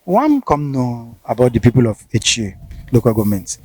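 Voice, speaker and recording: A Nigerian man with an Igbo accent, hosting a field interview and talking in a lively way, mixing Igbo and Pidgin. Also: medium pitch, normal speed, slight background noise. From Imo State, Nigeria. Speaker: interviewer and show host.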